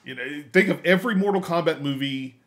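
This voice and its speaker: A man's voice: a little high, a little fast, a bit bright. A man co-hosting a podcast in casual discussion, emphatic, often repeating and rephrasing his points to drive them home. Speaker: podcast co-host. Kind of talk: casual discussion of films and games.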